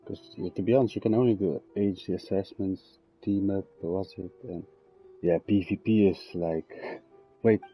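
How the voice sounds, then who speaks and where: A young man chatting casually while playing a game, halting and often trailing off mid-thought. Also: deep voice, steady tone. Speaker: game streamer. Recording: dull lo-fi mic, game music behind.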